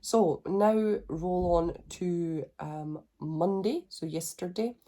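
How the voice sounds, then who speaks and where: A woman's voice: lowish voice, takes it slowly, dark tone. A woman in her thirties with a blocked nose, talking in a chatty, rambling video diary. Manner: chatty, rambling, self-reassuring. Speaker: vlogger.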